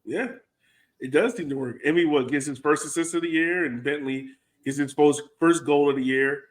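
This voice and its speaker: A man's voice: on the high side, normal speed. An American man co-hosting a soccer podcast, thinking aloud in an informal, conversational way with plenty of "like". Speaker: podcast co-host and soccer supporter. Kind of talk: casual sports chat.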